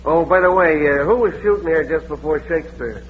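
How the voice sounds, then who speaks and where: An actor playing a plain-spoken, folksy small-town police sergeant with an informal manner, in a 1940s radio mystery. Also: male voice, fairly high pitch, a little fast, close to an everyday voice. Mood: questioning. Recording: tinny, noisy old radio recording.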